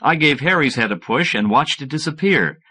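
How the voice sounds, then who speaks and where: Bright-voiced, animated male audiobook narrator. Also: a little high, normal speed, slightly rough. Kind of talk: expressive scripted first-person fiction narration.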